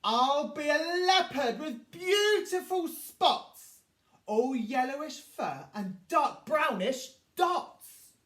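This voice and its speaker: Woman playing an eager young rhino, high-pitched. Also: lively intonation. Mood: excited. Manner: exclaiming, emotional, drawn-out delivery. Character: young Javan rhino, eager, excitable, easily saddened. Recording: echoey room, distant from mic.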